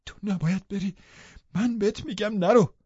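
A man's voice: sits high, darkish tone, husky, dry recording, dull audio, pitched above the performer's own voice. A man voicing a desperate young woman in an audiobook, breathless, pleading and insistent. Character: desperate, shrieking young woman clinging to her lover.

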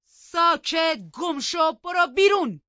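A woman voicing a furious, domineering master of the house in an audiobook, snarling and shouting his threats. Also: very high-pitched, lively intonation, dry recording, highs cut off, voice pushed up, exaggerated voice.

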